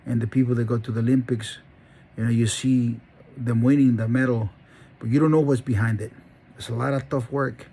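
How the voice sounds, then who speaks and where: A middle-aged man speaks in a gravelly, husky voice with a dark, mellow tone. He talks earnestly and rambles, restarting his sentences as he tells his own story. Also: medium pitch, steady tone, brisk. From Honduras. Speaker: dog breeder and channel host. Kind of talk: personal monologue.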